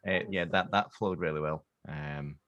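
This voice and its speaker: A man's voice: rumbling low, fairly even intonation, normal speed, fairly bright tone, no room sound, lo-fi sound. A man chatting casually with fellow participants at a table read. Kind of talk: casual chat.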